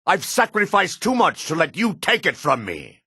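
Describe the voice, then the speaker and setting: An actor playing a proud, hard-edged male dark elf in a fantasy game, with a husky voice and a cool, self-assured manner. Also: wildly swooping pitch, moderate pace, dry recording, studio quality, full character voice. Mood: haughty, defiant. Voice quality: husky, low. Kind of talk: fantasy game character lines.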